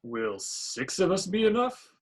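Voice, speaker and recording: A gruff, skeptical superhero acted by a man, husky with a lively pitch. He sounds doubtful and blunt in a script read. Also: unhurried, heavily acted.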